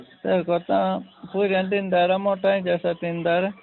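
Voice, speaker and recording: A man with a creaky voice talking casually on a phone call in a rambling, repetitive way. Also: high-pitched, level tone, normal speed. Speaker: prank call participant. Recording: narrow phone line with faint noise.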